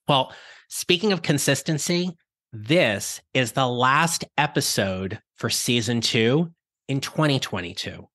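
A middle-aged man hosting a career-advice podcast, his voice raspy from illness, speaking in an upbeat, encouraging, conversational way. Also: medium pitch, bright, forceful tone, no room sound, studio quality. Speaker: podcast host.

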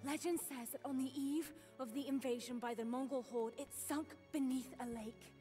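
A driven young woman adventurer from a cinematic video game, played by an actress. Her voice is high, earnest and urgent, with a defiant edge, over a clear musical score. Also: steady tone, normal speed, warm tone, soft background music, close to an everyday voice. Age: young adult.

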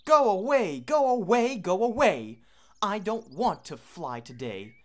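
A woman voicing a grumpy, scolding female storybook bird in shrill, emphatic singsong rhyme. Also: big pitch swings, measured pace. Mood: exasperated.

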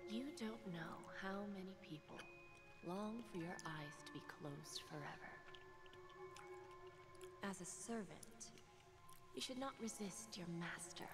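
A poised, sly woman of finance for a video game, questions her prisoner. Her delivery is silky and breathy, with teasing menace. Music plays behind her reverberant voice. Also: medium pitch, expressive, measured pace, pauses often. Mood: cool menace.

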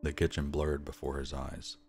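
A young man with a gravelly voice, a streamer reading visual novel narration aloud with casual asides. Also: a bass voice, completely flat delivery, moderate pace, darkish tone. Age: young adult. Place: small room at home, indoors. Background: game music.

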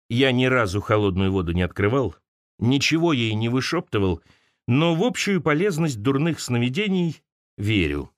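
A man's voice: medium pitch, dry recording, studio quality. A man narrating an audiobook, reading a personal literary essay aloud as scripted narration.

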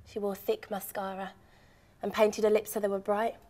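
A woman's voice: medium pitch, little pitch movement, moderate pace, darkish tone, close to an everyday voice. Actress as bitter, restrained woman in drama. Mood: quiet, wounded. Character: bitter woman recalling a controlling man's cruelty. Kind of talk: dramatic monologue to camera.

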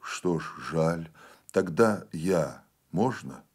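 A man playing a bitter, resigned peasant husband in a story reading, speaking in heavy, terse, drawn-out phrases. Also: low voice, lively intonation, darkish tone, husky, full character voice. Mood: subdued.

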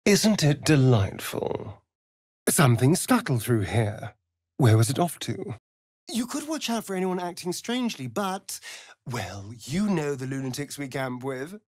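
An actor playing a vain, sardonic, centuries-old vampire spawn in a video game, with an arch, drawling, flamboyant delivery. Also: male voice, medium pitch, sing-song, a little slow, a little mellow, no room sound, clean studio sound. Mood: delighted, purring, then wry, brisk. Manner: arch, drawling, swinging from purrs to shrieks. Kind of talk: theatrical video game character acting.

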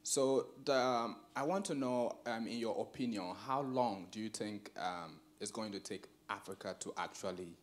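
Man from Ghana, 40s, audience question, deep-ish voice, polite, lots of ums. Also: moderate pace, hardly any pauses. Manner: polite, hesitant, many "um"s. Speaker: audience member asking a question. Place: lecture theatre.

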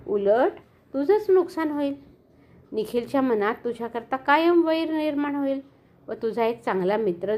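A calm, patient mother who reasons gently with her child, as a woman storyteller puts her on. She talks in a measured, soothing way, a touch lower than the narration.

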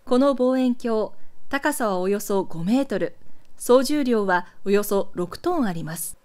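A woman reading scripted documentary narration for a news archive in a measured, clearly enunciated, explanatory way. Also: medium pitch, animated, a little mellow.

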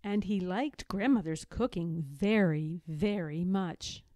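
A woman reading a children's storybook aloud as an expressive narrator. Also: on the low side, slow, no room sound, studio quality. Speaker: storybook reader.

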